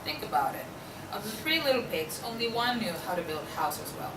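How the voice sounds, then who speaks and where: A young woman in a student table read playing a reasonable, persistent juror pig, explaining and persuading in a measured manner. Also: mid-range voice, expressive, lightly acted. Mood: reasoning. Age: young adult. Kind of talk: student table read of a comic courtroom play. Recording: distant, echoey room with clear background noise.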